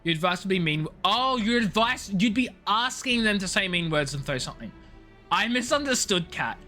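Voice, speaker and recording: Lively, bright-voiced woman streaming a game. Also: medium pitch, normal speed, soft background music. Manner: playful, jokey, chatty asides. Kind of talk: casual live-stream chat and commentary.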